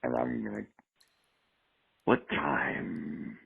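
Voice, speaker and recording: A man's voice: mid-range voice, huge pitch swings, extremely slow, vocal fry, slightly rough. A man leaving a rambling, profane voicemail for a show, jumping from topic to topic. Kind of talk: rambling phone message. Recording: tinny phone line voicemail.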